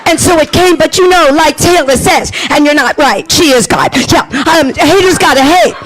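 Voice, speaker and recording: Woman playing a high airhead valley girl, gushy, totally-this totally-that. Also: moderate pace, a bit bright, soft background music, rough recording. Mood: gushing. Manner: airheaded and gushing, repeats "totally" and "like". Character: ditzy shallow valley girl obsessed with her nails. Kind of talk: comedic stage skit.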